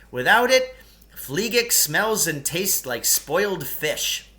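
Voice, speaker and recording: A man's voice: fairly high pitch, pushed and bright. A man voicing a long-winded, nostalgic storyteller in a children's novel, ceremonious and pompous, drawing out key words. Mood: solemn, ominous. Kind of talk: children's novel read aloud in character.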